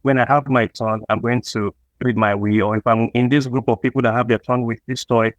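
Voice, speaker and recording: A man's voice: medium pitch, fairly even intonation, fairly quick, no echo, dull audio. A man with a Nigerian accent answering as an interview guest, talking reflectively and conversationally. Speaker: comic book creator, interview guest.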